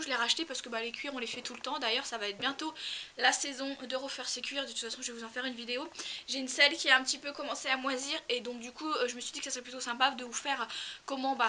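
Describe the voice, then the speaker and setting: Young woman w a stuffy nose, slightly high voice, rattling on about her fave stuff, faint hiss behind. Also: pushed and bright. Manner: chatty, repeats words for emphasis. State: congested, nasal from a cold. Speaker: equestrian vlogger. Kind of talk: casual product review vlog. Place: outdoors in a stable tack room, cold.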